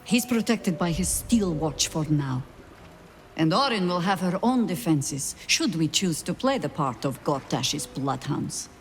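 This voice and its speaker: Low-voiced, world-weary woman veteran spy. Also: lively intonation, slight background noise. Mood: scornful, resolute. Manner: measured, dry, formal phrasing. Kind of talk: video game voice acting. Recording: music behind the voice. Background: game music.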